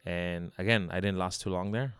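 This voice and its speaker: Male interview guest from Hong Kong, very low clean voice, conversational and anecdotal. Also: steady tone, moderate pace, fairly bright tone, clean tone, no room sound. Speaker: bar founder, interview guest. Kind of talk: casual interview chat.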